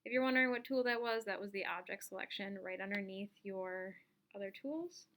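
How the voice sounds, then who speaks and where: Bright-voiced woman teaching, some background noise. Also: medium pitch, brisk. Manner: informal, instructional, thinking aloud while demonstrating. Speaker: instructor giving a software tutorial. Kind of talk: casual step-by-step screen-recorded lesson.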